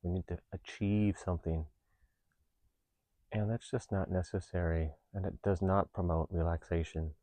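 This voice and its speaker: A man with a deep, soft-edged, mellow voice leads a guided meditation. He speaks calmly and reassuringly, taking his time over each gentle instruction. The sound is dry, with no room around the voice. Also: dry recording. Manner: calm, unhurried, reassuring. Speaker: meditation teacher.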